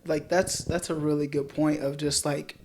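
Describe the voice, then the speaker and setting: Casting: American woman with a deep voice, easygoing informal conversationalist on a podcast. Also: little pitch movement, moderate pace, a little mellow, a little hiss or hum. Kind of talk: casual reflective chat.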